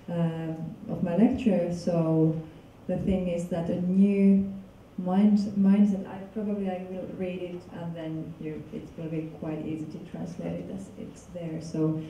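Woman lecturer, from Finland, low mellow voice, roomy distant hall sound. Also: a little hiss or hum. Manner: halting, casual, many fillers and restarts. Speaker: guest lecturer. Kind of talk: public lecture with interpreter. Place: large dark lecture hall. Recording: echoey hall from far off.

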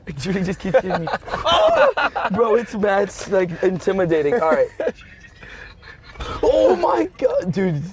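A man's voice: high-pitched, wildly swooping pitch, measured pace, long pauses. A young man bantering nervously with a friend inside a vehicle, laughing as he talks. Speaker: friend on the trip. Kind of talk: casual banter. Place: inside a vehicle at a safari park. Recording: noisy handheld camera audio.

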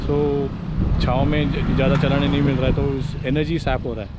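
A man's voice: mid-range voice, flat delivery, brisk. A man in his forties vlogging a long motorcycle ride in a loose, chatty way, full of fillers, on an action camera outdoors. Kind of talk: casual travel vlog narration. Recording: loud road and wind noise.

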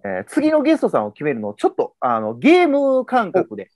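A man with a Kansai accent hosting a casual video-call interview, summing up ideas in a relaxed way and gesturing as he talks. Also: sits high, fast talker, no room sound, clean studio sound. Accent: Kansai Japanese. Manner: relaxed, summarizing, gestures while talking. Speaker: host. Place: indoors at home.

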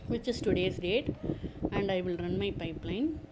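A woman presenting an informal software tutorial, explaining step by step and repeating her points. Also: on the low side, moderate pace, heavy background noise.

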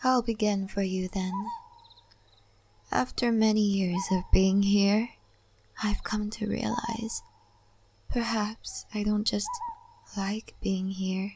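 A woman playing a calm, wise, mysterious guide of a spirit forest in an ASMR roleplay, speaking gently and softly, often in a breathy whisper, with pauses. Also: mid-range voice, slight background noise. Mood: calm, reflective. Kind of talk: soft-spoken, half-whispered ASMR roleplay.

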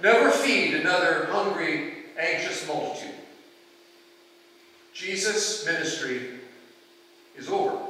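Older guy preaching in a big church, bright forceful voice, measured delivery, sounds far from the mic. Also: high voice. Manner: measured, deliberate, with rhetorical questions and pauses. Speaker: pastor preaching. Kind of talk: sermon. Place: large church sanctuary, indoors. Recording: very echoey church hall, distant microphone.

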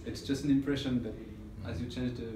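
A man's voice: medium pitch, steady tone, fairly quick. A man in his thirties giving an informal technical explanation as an engineer, hesitant and searching for words. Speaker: audio engineer explaining a measurement process. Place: indoor listening room with loudspeakers. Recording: roomy echo with background room noise.

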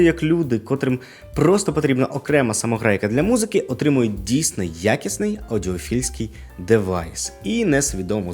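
A man's voice: medium pitch, a little mellow, breathy edge. A man giving a conversational product review, casual in manner, with asides and rhetorical questions. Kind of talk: conversational video review to camera. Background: music.

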